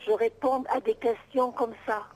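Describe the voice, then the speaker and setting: A woman's voice: a little high, fairly even intonation, normal speed, darkish tone. A middle-aged woman on an unscripted phone call, answering as a private seller, exasperated and insistent, repeating herself. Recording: noisy telephone call.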